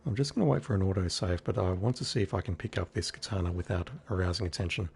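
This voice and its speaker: A man's voice: deep voice, quick pace, creaky, darkish tone, breathy edge. A man with a scratchy voice giving casual, wry live commentary on a game he is playing. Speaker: game streamer.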